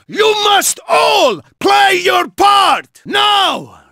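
An actor voicing a fierce Russian soldier prisoner leading a revolt in a video game, with a gravelly voice and emphatic, rousing delivery. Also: male voice, extremely high pitch, very animated, drawn-out words, sharp tone, heavy reverb, shouting, in a large hall, far from the mic, heavily acted. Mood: commanding, fired-up.

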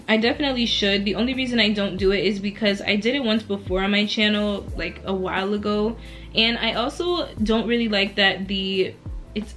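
Relaxed chatty young woman vlogging. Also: mid-range voice. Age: 20s. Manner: chatty, relaxed, laughs often between answers. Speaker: beauty and lifestyle YouTuber. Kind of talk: casual Q&A vlog. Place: lying back on a bed at home, indoors.

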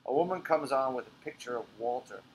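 A man's voice: medium pitch, animated, fast. Man reading a play script, noisy background. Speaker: playwright reading own play. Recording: clear background noise.

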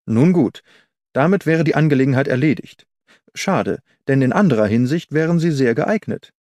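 A man voicing an eager, politely firm middle-aged English gentleman in an audiobook, formal and persuasive. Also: mid-range voice, animated, normal speed, no echo, clean studio sound, lightly acted. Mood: politely insistent.

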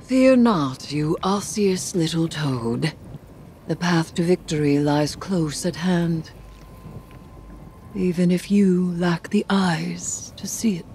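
An actress voicing a brooding, vengeful occult sorceress in a video game, solemn, formal and defiant. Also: female voice, lowish voice, slow, a little mellow, slight background noise. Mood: haughty, menacing. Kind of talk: theatrical video game dialogue. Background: music.

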